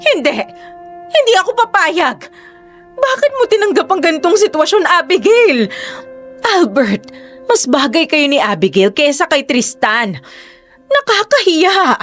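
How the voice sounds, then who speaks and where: A woman's voice: big pitch swings, a little slow, pauses often. Woman playing a scornful bigoted mother. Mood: furious, scornful. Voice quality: shrill, contemptuous, shouting tirades. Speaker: mother of Albert. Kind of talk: radio drama acting. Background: faint background music.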